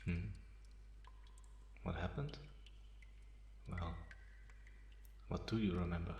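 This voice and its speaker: A man playing a calm, teasing half-vampire in an ASMR roleplay, pausing often and sounding softly amused and restrained. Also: sits low. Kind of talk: slow ASMR roleplay monologue. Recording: roomy echo, faint background noise.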